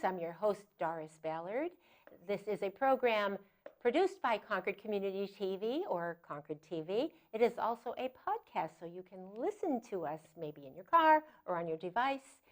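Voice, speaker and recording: Friendly woman hosting a community show. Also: medium pitch. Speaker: talk show host. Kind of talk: community TV interview.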